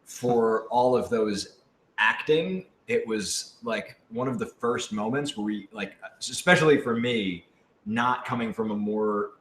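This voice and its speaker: A man's voice: fairly low pitch, measured pace, fairly bright tone. A man in casual, joking panel chat, playful and wry as he chimes in. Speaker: voice actor on a panel. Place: indoor room with echo. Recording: roomy, echoing room sound.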